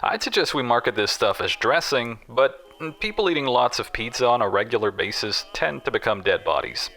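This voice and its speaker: Man acting a bright-voiced, exasperated research scientist. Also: medium pitch, animated, fairly quick, vocal fry, exaggerated voice. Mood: resigned, frustrated. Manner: dry, grumbling, sardonic. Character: exasperated male research scientist reading his testing notes. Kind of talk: dramatised log readings in scripted storytelling.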